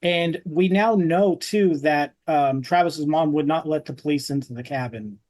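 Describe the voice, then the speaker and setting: A man hosting a podcast in casual conversation, speaking in a halting, rambling way. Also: a little high, darkish tone. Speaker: podcast host.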